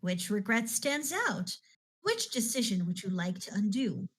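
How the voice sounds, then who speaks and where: A woman's voice: medium pitch, sing-song, measured pace, husky. A woman reading aloud and voicing a brusque older female librarian who gives curt instructions. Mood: firm.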